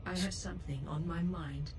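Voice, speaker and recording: A woman plays a composed female ship's assistant for a space game. Her voice sits a little low and is polite, precise and measured. It comes across slightly distant, with music clearly playing behind it. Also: steady tone, darkish tone, lightly acted. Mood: helpful, chatty. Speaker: ship voice assistant. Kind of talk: scripted game voice-pack lines.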